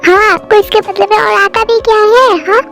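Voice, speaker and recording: A high-voiced reader as a defiant college girl. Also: fairly even intonation, moderate pace, vocal fry, fairly bright tone, faint music behind, full character voice. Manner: defiant, pleading. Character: headstrong young woman, college student.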